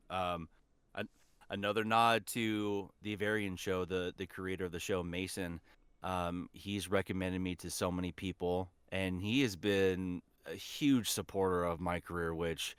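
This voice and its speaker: A man's voice: low-pitched, sharp tone, clean tone, dry recording. A man talking as a guest voice actor in a casual podcast chat, with an enthusiastic, informal way of speaking.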